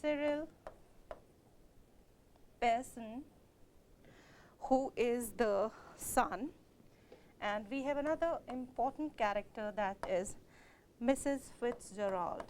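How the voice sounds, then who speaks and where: A woman's voice: on the high side, slow pace, pauses often, darkish tone, a little hiss or hum. A woman lecturer explaining a play to students, speaking in an explanatory way with frequent "uh, you know" fillers, whose first language is Kashmiri. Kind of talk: recorded literature lecture.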